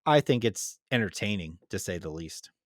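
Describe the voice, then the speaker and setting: A man's voice: fairly low pitch, animated, normal speed, no echo, studio quality. A man co-hosting a podcast, giving a casual review in an easygoing, plain-spoken way. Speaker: podcast co-host.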